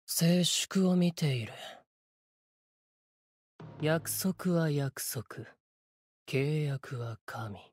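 Solemn cryptic sword spirit from a game, super slow n breathy, close dry sound. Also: male voice, on the high side, animated, dry recording, exaggerated voice. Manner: measured, portentous, archaic phrasing. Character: solemn, cryptic sword spirit warrior bound to stars and death. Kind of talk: Japanese game character voice lines.

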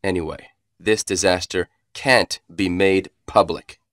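An actor playing an arrogant, cold villainous commander in a video game, with theatrical, condescending menace and stilted delivery. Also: male voice, low voice, fairly even intonation, measured pace, a bit bright, no echo, clean studio sound. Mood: arrogant, menacing.

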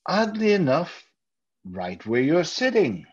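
A man playing a nervous, overpolite murderer hiding his guilt, halting and husky, in old-time radio theater style. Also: on the high side, huge pitch swings, a little mellow, full character voice. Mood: ingratiating. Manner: halting, over-courteous, stammering. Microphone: video call. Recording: dull, lo-fi video call audio.

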